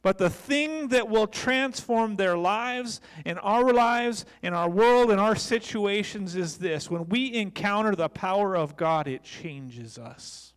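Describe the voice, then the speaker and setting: A man's voice: high-pitched, lively intonation, slow, few pauses, smooth. A middle-aged American man preaching a sermon as a pastor, speaking into a handheld microphone on a church stage. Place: church stage, large indoor room. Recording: long echoey hall reverb.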